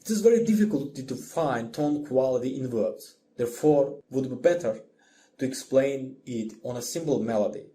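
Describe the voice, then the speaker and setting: A man who is a non-native English speaker narrates a scripted music lesson as the instructor, in a deliberate, explanatory manner. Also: medium pitch, animated, mellow, slight background noise. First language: not English.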